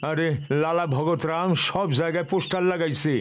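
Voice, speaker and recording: A man's voice: on the high side, flat delivery, brisk, a little hiss or hum, lightly acted. A man voicing a cartoon tea seller posing as a menacing ghost, with an East Bengali accent and a rustic manner. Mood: explaining. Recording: loud cartoon music behind the voice.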